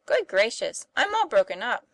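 A woman's voice: on the high side, big pitch swings, normal speed, no echo. A woman voicing a plucky, blunt young schoolboy in an audiobook, boyish and exclamatory in manner. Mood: bewildered, cheeky. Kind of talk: audiobook character voice.

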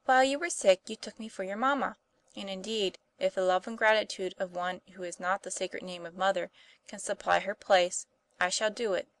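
A woman's voice: mid-range voice, fairly even intonation, normal speed, a bit bright, close to an everyday voice. A woman voicing a refined, motherly lady in an audiobook, with a tender and formal manner. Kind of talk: audiobook character voice.

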